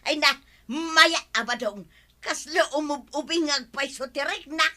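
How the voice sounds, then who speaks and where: An actress playing a grumpy, nagging village auntie of about sixty in a Filipino comedy drama, with an Ilocano accent. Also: female voice, sits high, measured pace, bright, forceful tone. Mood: reluctant, amused. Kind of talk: broadcast comedy drama acting.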